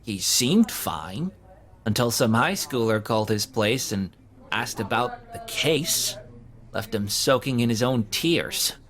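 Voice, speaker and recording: A man's voice: mid-range voice, lively intonation, slow, fairly bright tone. A man playing an awkward, hapless young man in an audio drama, talking in a hesitant, sheepish way full of fillers. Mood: glum, resigned. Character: awkward, hapless young man who keeps getting fired. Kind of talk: conversational audio drama acting.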